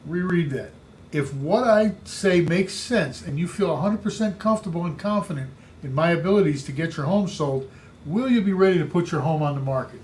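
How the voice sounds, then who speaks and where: A man with a big booming voice coaching real estate agents, speaking emphatically and repeating his key points. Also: expressive, brisk, darkish tone, slight background noise. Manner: emphatic, repetitive coaching delivery. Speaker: real estate sales trainer. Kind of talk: instructional podcast talk.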